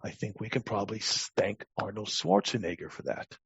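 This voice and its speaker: Older American man, raspy, halting reflective interview talk, muffled roomy sound. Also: lowish voice, animated. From Long Island, United States. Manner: halting, often repeats words as thoughts form. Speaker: podcast guest, talent acquisition advisor. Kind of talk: reflective conversational interview answers.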